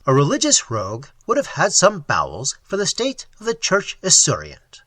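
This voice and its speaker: Man putting on a drawling idle lord, lilting lively pitch, bright tone. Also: moderate pace, dry recording, clean studio sound, exaggerated voice. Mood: airy, drawling. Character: languid, self-indulgent male aristocrat.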